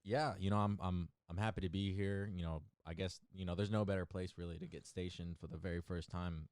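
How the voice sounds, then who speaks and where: A man's voice: low voice, steady tone, fairly quick, a little mellow, clean tone, no echo, clean studio sound. A man in his twenties from Florida, hosting a casual podcast chat and leading introductions in a loose, joking way. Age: 20s. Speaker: podcast host.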